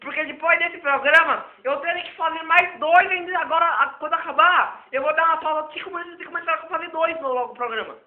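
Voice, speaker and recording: High-voiced hoarse man, tinny echo. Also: quick pace, few pauses. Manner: rambling and repetitive. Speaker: self-taught computer tutor. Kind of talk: improvised spoken tech lesson. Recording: echoey, phone-like lo-fi recording.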